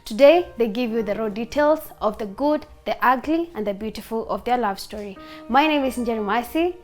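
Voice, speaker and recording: A woman's voice: a little high, expressive, fairly quick, darkish tone, soft background music. A woman who hosts an interview show, giving a poised scripted introduction. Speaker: interview host.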